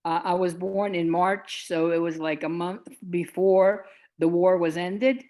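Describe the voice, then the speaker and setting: Slow-talking older woman. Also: on the low side, steady tone, dark tone. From United States. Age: 70s. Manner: meandering, restarts often. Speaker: guest. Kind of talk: casual storytelling. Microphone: video call.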